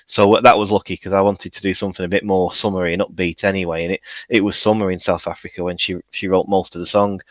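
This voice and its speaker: Man, producer guest; very low voice, modest and self-deprecating, rambling, dry close sound. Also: flat delivery, quick pace, hardly any pauses, no echo, highs cut off. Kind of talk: casual radio interview chat.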